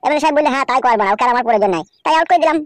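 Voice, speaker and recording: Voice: high-pitched, expressive, rapid-fire, no echo. A voice actor playing a cocky, scheming, bossy village man in a comedy cartoon, crude and boastful. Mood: smug, bossy. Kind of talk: amateur Bengali comedy cartoon dialogue.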